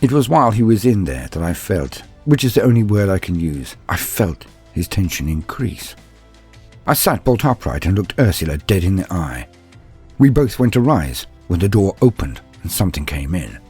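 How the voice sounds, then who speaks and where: A man voicing an earnest young armored psyker apprentice, recounting his tale in solemn, deliberate, formal words as dramatic story narration. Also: low-pitched, sing-song, a little mellow, husky, soft background music, full character voice. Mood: measured.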